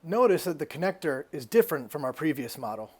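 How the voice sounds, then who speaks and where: A man giving scripted instructional narration as the presenter of an installation tutorial, explaining things clearly step by step. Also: fairly high pitch, big pitch swings, fast, darkish tone.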